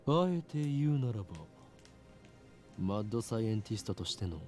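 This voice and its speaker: A man's voice: fairly low pitch, lively intonation, racing, dark tone, soft background music, natural voice. A man voicing a pompous, grandiose young mad scientist in a Japanese visual novel, with theatrical declaiming.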